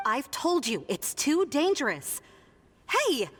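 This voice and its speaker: A woman's voice: high voice, very animated, slow, fairly bright tone, faint music behind, full character voice. A woman playing a worried guardian in a video game, scolding in an exasperated, admonishing way.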